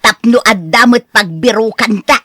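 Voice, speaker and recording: A woman's voice: fairly high pitch, moderate pace, sharp tone, dry recording, studio quality. A woman with a Filipino Ilocano accent playing a practical, cautioning married woman in a radio drama.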